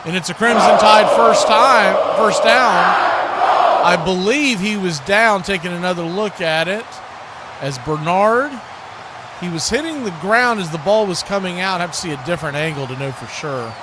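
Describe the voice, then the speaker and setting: Man, high voice, livestream host, football play-by-play, casual chat, noisy recording. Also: moderate pace, a loud crowd.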